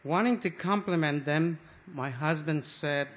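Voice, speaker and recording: Older man, fairly high voice, slow, halting, restarts often, church talk. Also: a bit bright. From Mexico. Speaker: guest church speaker. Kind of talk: anecdotal sermon talk.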